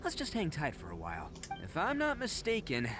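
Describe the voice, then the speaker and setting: A man's voice: fairly high pitch, huge pitch swings, a little fast, noisy background. A man voicing a brash, proud young oni brawler in a video game, cocky and emphatic. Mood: confident. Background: game music.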